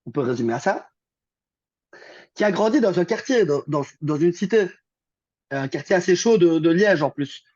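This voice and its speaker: A man's voice: a little high, expressive, moderate pace, long pauses, darkish tone, no echo. A young man talks as a guest, rambling from one thought to the next with plenty of fillers and restarts. The recording sounds muffled and lo-fi. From Belgium. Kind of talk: casual conversation.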